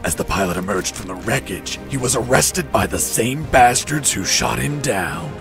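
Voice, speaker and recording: An actor playing a cocky captured commando pilot in a video game, telling his story in a deadpan, wry way. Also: mid-range voice, moderate pace, creaky. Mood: dramatic, grave. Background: background music.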